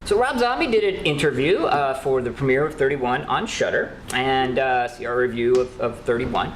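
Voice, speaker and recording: A man co-hosting a casual news chat, animated and playfully opinionated. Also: mid-range voice, normal speed. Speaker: co-host of a horror news show. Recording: roomy echo with faint noise.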